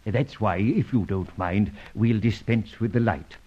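An actor playing a suave gentleman adventurer and secret vigilante in an old radio serial, with an urbane, wry, formally polite manner. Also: on the low side, unhurried, slightly rough. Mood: brisk, courteous. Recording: dull, lo-fi old radio recording.

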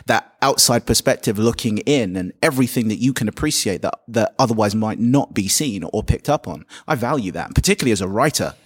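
Thoughtful man, low voice. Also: husky. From London, Britain. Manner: reflective, thoughtful, with frequent restarts. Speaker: poet and writer. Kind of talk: panel discussion.

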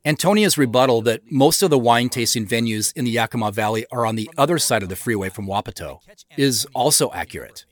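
A man giving scripted director's commentary in a measured, explanatory manner into a close mic. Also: medium pitch, animated. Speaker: show creator and commentator.

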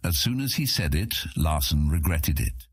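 A man reads a fantasy story as narrator. His voice is low and husky with animated rises and falls, captured close in a dry studio sound. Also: normal speed, dry recording. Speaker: audiobook narrator.

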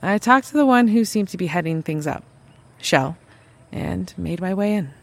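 A woman's voice: fairly low pitch, expressive, normal speed. A woman playing a resourceful drifter mechanic, telling of travels in a naturalistic audio drama, conversational and wry. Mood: reflective, wry.

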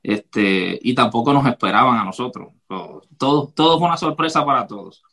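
A man hosting a podcast, telling a childhood story in a relaxed, casual way and laughing easily. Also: mid-range voice, moderate pace. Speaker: podcast host. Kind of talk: casual conversation.

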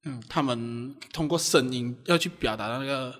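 A man with a Malaysian accent chatting casually in an animated, self-deprecating way about his own amateur performing. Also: mid-range voice, moderate pace. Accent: Malaysian Chinese-language. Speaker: amateur audio drama performer. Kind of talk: casual chat.

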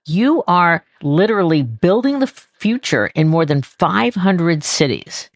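Low, expressive woman hosting a podcast. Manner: expressive, slows down to stress key words. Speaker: podcast host. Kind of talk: podcast hosting, scripted intro then chat.

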